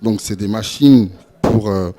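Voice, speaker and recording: A young man from France speaking on a discussion panel, halting and full of hesitations and repeated words. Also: on the low side, mellow. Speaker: panel speaker, event organizer.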